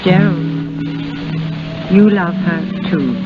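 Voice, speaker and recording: A woman's voice: fairly low pitch, drawn-out words, loud music behind the voice. A kindly English mother who frets over her grown son. The acting is in 1930s radio style, and the old broadcast sounds dull and muffled. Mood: concerned.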